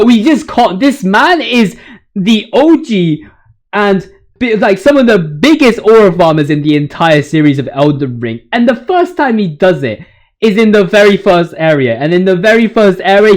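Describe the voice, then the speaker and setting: High-pitched, hyped young man. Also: darkish tone. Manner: hyped, slangy, rambling. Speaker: video host. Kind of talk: casual video game commentary.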